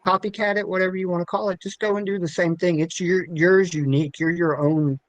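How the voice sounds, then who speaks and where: A man's voice: sits high, steady tone, brisk, dry recording. A middle-aged man, a podcast guest, giving casual advice in an encouraging, emphatic way.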